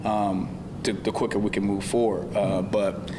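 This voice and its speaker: A man with a lowish voice and very little pitch movement talks reflectively as a panel guest, feeling his way through his points. The recording is reverberant and noisy. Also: flat delivery, unhurried. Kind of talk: conversational panel discussion.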